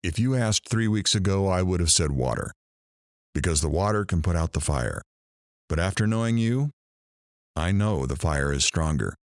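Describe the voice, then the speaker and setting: Radio drama host, played by a man: deep voice, expressive swings, weary, reflective, theatrical and measured. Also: dry recording, clean studio sound.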